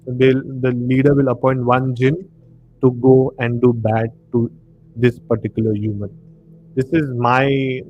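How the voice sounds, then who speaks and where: A man with an Indian accent hosting a casual live discussion, explaining in a halting way and checking that he is understood. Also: mid-range voice, darkish tone, dull audio. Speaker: live stream host. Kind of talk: casual discussion of horror films and the supernatural.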